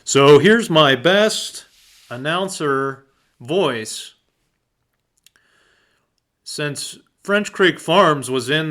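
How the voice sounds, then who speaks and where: A man puts on a cheesy male announcer for radio-style ads, pitched up and showy. The delivery is exaggerated and drawn out, selling every phrase with upbeat salesmanship. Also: a bit bright. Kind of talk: advertisement read.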